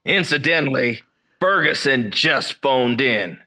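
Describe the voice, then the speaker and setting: An actor playing a police colleague in a 1940s-style radio drama, giving a brisk, matter-of-fact report. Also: male voice, mid-range voice, animated, bright, forceful tone. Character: male police colleague relaying news, brisk.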